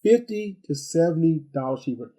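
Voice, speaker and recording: Guy doing an off the cuff tech review, kinda high but really mellow voice, rambly, folksy, says stuff twice to make the point. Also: animated, moderate pace. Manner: rambling, repeats phrases for emphasis, folksy slang. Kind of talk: casual, unscripted product review.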